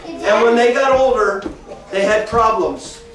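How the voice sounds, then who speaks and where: A man's voice: high-pitched, measured pace, recorded from a distance, a crowd in the background. Middle-aged man teaching kids in an echoey room. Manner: animated, repeats phrases, talks to kids directly. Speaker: children's church teacher. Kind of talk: children's Bible lesson. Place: large classroom with kids seated. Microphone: far camera, no mic seen.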